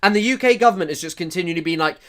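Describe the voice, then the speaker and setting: A man's voice: high-pitched, rapid-fire, bright, forceful tone. A man in his twenties giving casual, mocking reaction commentary, rambling with lots of fillers and restarts. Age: 20s.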